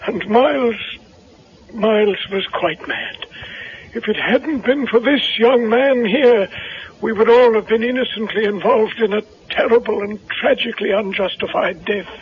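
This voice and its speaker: An earnest clergyman in a vintage radio drama, with a high and very raspy voice. He speaks gravely and with emphasis, on a dull old broadcast recording. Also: measured pace, exaggerated voice. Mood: grave, appalled. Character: earnest reverend explaining a villain's mad scheme. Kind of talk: 1970s radio drama acting.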